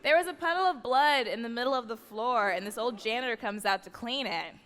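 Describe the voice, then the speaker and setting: A woman's voice: on the high side, a little fast, fairly bright tone. An American woman comedian telling a comic story on stage as a live radio play. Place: large theatre stage. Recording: echoey theatre hall, live stage noise.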